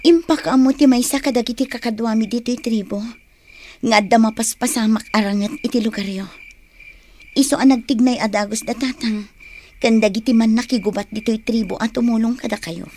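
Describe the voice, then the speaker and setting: Actress as caring young tribeswoman, fairly high voice, Ilocano accent, earnest radio drama acting, faint noise. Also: brisk, a little mellow, close to an everyday voice.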